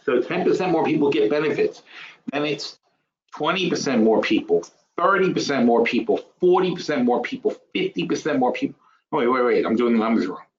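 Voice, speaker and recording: A man's voice: mid-range voice, lively intonation, brisk. A man of fifty-five giving an informal, explanatory financial lecture as a webinar host, blunt and emphatic, thinking aloud, repeating phrases and asking rhetorical questions. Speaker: webinar host and trading educator. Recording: roomy, dull lo-fi webinar audio.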